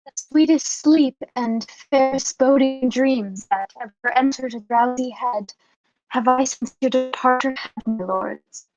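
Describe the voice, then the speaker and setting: A young woman playing a noble, devout war leader in a Shakespearean radio play, speaking in a measured, oratorical way. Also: high voice, a little mellow, faint music behind. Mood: resolute, noble. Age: young adult. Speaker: acting student. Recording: dull, lo-fi sound.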